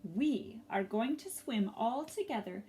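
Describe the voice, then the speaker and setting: A woman's voice: a little high, expressive, unhurried, warm tone. A woman voicing a small, clever young male fish in a storybook, eager and encouraging. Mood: excited.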